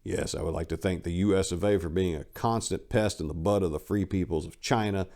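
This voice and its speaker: Male narrator, low register, dramatic book reading, deliberate and declamatory. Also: steady tone, darkish tone. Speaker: audiobook reader. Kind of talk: dramatic reading of a book's acknowledgments.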